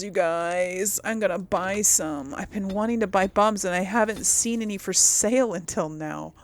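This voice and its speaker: A woman's voice: medium pitch, creaky, slight background noise. A woman giving casual, chatty gameplay commentary as host, musing aloud and often trailing off. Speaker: gaming video host.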